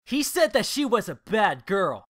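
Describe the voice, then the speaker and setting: A woman's voice: medium pitch, very animated, unhurried. A woman voicing a naive, emotional young male student on trial, stammering and pleading in a dramatic fan dub. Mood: earnest recounting. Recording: loud music behind voice. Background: loud music.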